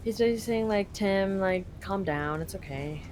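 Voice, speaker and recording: A woman's voice: mid-range voice, moderate pace, a little mellow, a little hiss or hum. A woman from Canada casually translating a game live, paraphrasing loosely with lots of fillers. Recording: game music behind.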